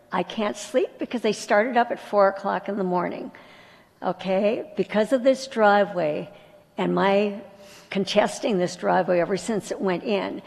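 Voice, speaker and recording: A woman stands at the podium and voices an aggrieved complaint in a gravelly voice. She speaks at the public mic, and the council chamber adds a long reverb. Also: medium pitch, darkish tone. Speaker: resident giving public comment. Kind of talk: public comment.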